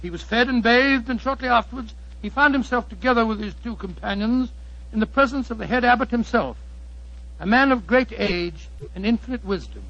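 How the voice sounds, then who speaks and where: Earnest British doctor-narrator, fairly high voice, dramatic storytelling, muffled old radio recording. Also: male voice, fairly quick, bright, forceful tone, full character voice. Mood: measured. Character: earnest English doctor recounting his detective friend's adventure. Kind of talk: dramatic scripted narration, 1940s radio acting. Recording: dull 1940s radio recording.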